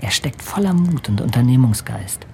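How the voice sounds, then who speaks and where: An actor playing a determined, adventurous English gentleman captain in a radio drama, articulate and formal. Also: medium pitch, expressive, normal speed, vocal fry, slight background noise. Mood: earnest, hopeful. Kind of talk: radio drama acting and letter narration.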